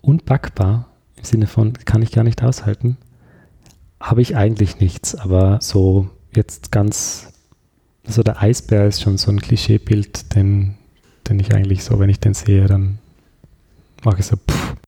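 A man's voice: on the low side, little pitch movement, measured pace, vocal fry, warm tone, slightly rough. A man with an Austrian accent chatting casually as an interview guest, thinking aloud and often searching for his words. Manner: hesitant, reflective, searching for words.

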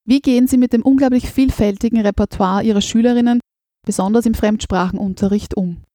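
Austrian woman interviewer, slightly high, mellow, formal, dry sound. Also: steady tone, fairly quick, no echo. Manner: formal, polite. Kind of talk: interview questions.

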